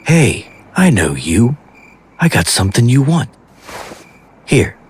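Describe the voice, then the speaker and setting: An actor playing a creepy, taunting street beggar with a raspy voice in an audio drama. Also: male voice, medium pitch, very animated, slow pace, a little hiss or hum. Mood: sly, taunting.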